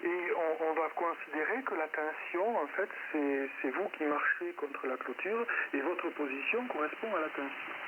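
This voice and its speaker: A man giving an improvised technical lecture as an amateur radio operator, explaining didactically in a halting, self-correcting way over a shortwave radio link. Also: fairly high pitch. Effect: shortwave single-sideband radio transmission. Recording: narrow-band, very noisy shortwave radio link. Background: loud radio static noise.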